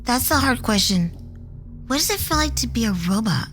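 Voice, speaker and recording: A woman's voice: on the high side, very animated, moderate pace, a bit bright. A woman playing a candid, curious little girl with a hesitant, childlike manner in an audio drama. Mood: shy, curious. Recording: music under the voice.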